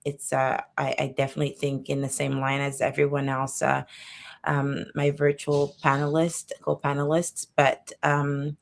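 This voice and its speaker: Low gravelly woman on a video panel, flat delivery, thinking out loud. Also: moderate pace, slight background noise. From born in Cameroon, raised in Canada. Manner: reflective, searching for words. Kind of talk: panel discussion.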